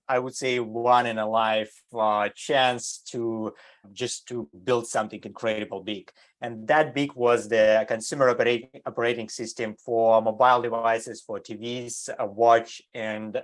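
A man's voice: medium pitch, a bit bright. A man who grew up in Germany, answering interview questions in non-native English with many fillers and restarts. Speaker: design entrepreneur, guest. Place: California.

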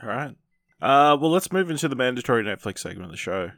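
A man's voice: medium pitch, big pitch swings, quick pace, fairly bright tone, no room sound, clean studio sound. A man hosting a podcast, chatting casually and steering the conversation between segments. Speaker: podcast host. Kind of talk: casual chat, moderating segments.

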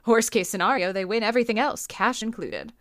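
A woman playing a sultry, sharp-tongued nightclub singer and informant in a noir comedy audio drama, flirtatious and sardonic. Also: fairly high pitch, lively intonation, brisk, no echo, studio quality, lightly acted. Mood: knowing, amused. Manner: flirtatious, sardonic, wordplay-heavy banter.

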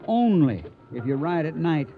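An actor playing a firm, cautious cattle trail boss in a western radio drama. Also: male voice, on the high side, big pitch swings, moderate pace, creaky. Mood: firm, worried. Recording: noisy, muffled old radio recording.

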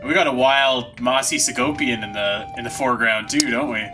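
A man's voice: mid-range voice, fairly even intonation, a little fast, music in the background. A man hosting a podcast in a casual chat style, with an upbeat, slangy manner. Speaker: podcast host.

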